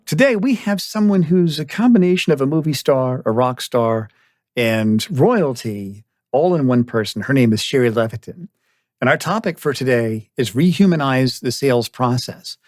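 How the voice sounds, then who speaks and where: A man with a medium-pitched voice hosts a podcast interview. He talks in an effusive, enthusiastic way, and the sound is dry with almost no room reflection. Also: expressive, moderate pace, darkish tone, no echo. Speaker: podcast host.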